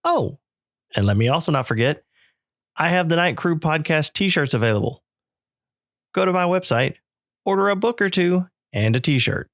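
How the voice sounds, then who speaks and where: A man's voice: fairly high pitch, expressive, normal speed, pauses often, no room sound, studio quality. A man hosting a podcast, delivering an introduction and promotional notes to listeners. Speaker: podcast host.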